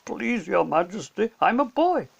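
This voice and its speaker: A man's voice: sits high, very animated, a little slow, vocal fry, husky, muffled recording, voice pushed up, full character voice. A man voicing a nervous, sulky young boy in a storybook reading. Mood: timid.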